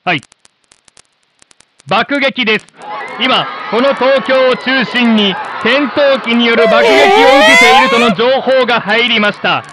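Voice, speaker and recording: An actor playing a formal, polished old-time television presenter addressing the nation in a radio drama. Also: male voice, very high-pitched, very animated, unhurried, crowd noise, background noise, full character voice. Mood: urgent. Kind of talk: broadcast announcing in radio drama.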